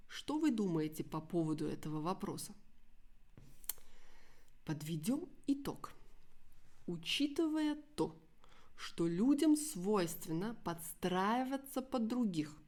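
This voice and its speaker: A woman's voice: medium pitch, a little mellow. A woman with a native Russian accent presenting an educational podcast for language learners, articulating carefully and explaining words simply.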